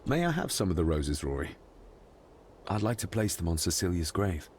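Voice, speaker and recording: Earnest, low-voiced young gentleman. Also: big pitch swings, moderate pace, a little hiss or hum. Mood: polite, earnest. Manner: polite, questioning. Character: earnest, inquisitive young gentleman heir in 1920s. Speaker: character actor in a video game. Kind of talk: dramatic game cutscene dialogue.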